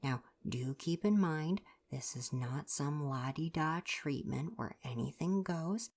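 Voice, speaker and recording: Woman playing a very low-voiced, rambling healer god. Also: darkish tone. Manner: rambling, musing, politely formal with casual asides. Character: eccentric, chatty, absent-minded ancient Greek healer god. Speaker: doctor. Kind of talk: ASMR roleplay.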